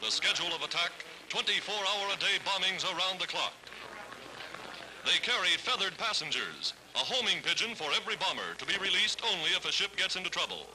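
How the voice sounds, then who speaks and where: A man narrates in the high-pitched voice of a 1940s wartime newsreel. His delivery is formal, scripted and declamatory, with dramatic weight. The old soundtrack is clearly noisy. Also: very animated, brisk, pushed and bright.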